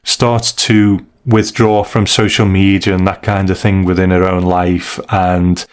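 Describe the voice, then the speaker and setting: Low-voiced man chatting casually. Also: flat delivery, a little slow, clean tone. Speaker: podcast co-host. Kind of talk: casual discussion reviewing stories.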